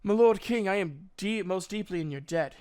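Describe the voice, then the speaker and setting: A man's voice: sits high, expressive, normal speed, darkish tone, smooth. A man voicing an earnest, humble nine-year-old prince in a storybook reading, with hesitant, formal courtesy. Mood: grateful, apologetic.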